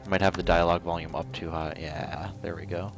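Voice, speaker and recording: Low-voiced man streaming a game. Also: level tone, a little fast, creaky. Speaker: game livestreamer. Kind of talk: casual live gameplay commentary. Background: game music.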